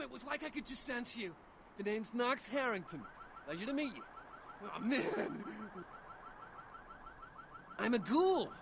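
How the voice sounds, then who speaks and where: An actor playing a starstruck young vampire's servant in a video game, gushing and rambling in slangy American English. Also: male voice, very high-pitched, vocal fry, sharp tone, slight background noise, exaggerated voice. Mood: thrilled. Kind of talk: video game dialogue acting.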